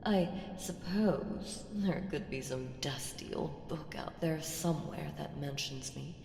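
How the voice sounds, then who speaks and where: A woman playing an ancient evil entity in an ASMR audio roleplay, seductive, menacing and playfully condescending, with teasing, drawn-out murmurs that slip into whispers. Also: low voice, vocal fry, darkish tone, a little hiss or hum, full character voice. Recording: echoing reverb with music underneath. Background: music.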